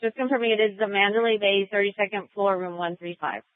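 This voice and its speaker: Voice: mid-range voice, fairly even intonation, quick pace, clean tone, no room sound. A police dispatcher giving terse acknowledgements and relays over the radio. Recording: tinny, band-limited police radio scanner.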